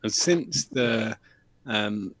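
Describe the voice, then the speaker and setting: A man's voice: lowish voice, animated, extremely slow, fairly bright tone. A man co-hosting a show in casual banter, with a sweary, sardonic, joking manner. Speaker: show co-host presenting news.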